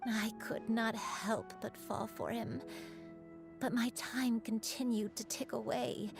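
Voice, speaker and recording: Wistful crane spirit in human form. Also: female voice, a little high, fairly even intonation, creaky, over music. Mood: tender, regretful. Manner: measured, wistful, confessional. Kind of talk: scripted video game voice acting, storytelling monologue.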